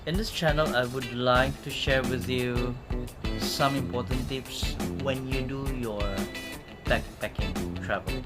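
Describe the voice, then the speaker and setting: A man with a Malaysian English accent talks through travel tips, casual and unscripted. His voice sits at an ordinary pitch and has a chatty, rambling flow with small restarts. Loud plucked guitar music plays under him throughout. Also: a little slow. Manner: chatty, rambling, repeats words while searching for them. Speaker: travel vlogger. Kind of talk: casual unscripted talk to camera.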